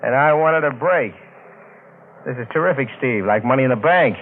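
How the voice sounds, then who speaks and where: A man's voice: mid-range voice, expressive, moderate pace, lightly acted. A man playing a sly, down-on-his-luck stowaway sailor with slangy, wheedling talk in an old-time radio drama. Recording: narrow-band vintage radio recording, faint noise.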